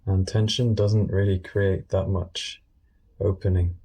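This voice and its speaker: A man guiding a meditation in a gentle, unscripted way, speaking informally and soothingly in short phrases with pauses. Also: deep voice, level tone, husky. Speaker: meditation guide.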